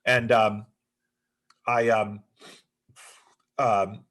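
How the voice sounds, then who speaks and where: A man's voice: on the low side, level tone, drawn-out words, a bit bright. A middle-aged man, born in the United States but with Greek as his first language, speaking as a guest on a video call, thoughtful and full of restarts. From United States, raised in Greece until six. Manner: thoughtful, many fillers and restarts. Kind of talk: remote interview conversation.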